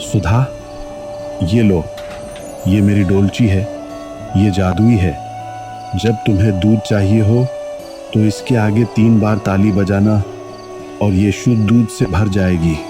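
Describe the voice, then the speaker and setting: A man's voice: on the low side, steady tone, takes it slowly, pauses often. A man playing the solemn, earnest ghost of an honest village milkman in a Hindi cartoon story. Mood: grateful, calm. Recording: music behind the voice.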